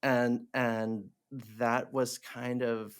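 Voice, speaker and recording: A man from Hong Kong whose first language is Cantonese, a theatre director in casual podcast conversation, animated and laughing often, with many fillers and restarts. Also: mid-range voice, drawn-out words, clear, resonant tone.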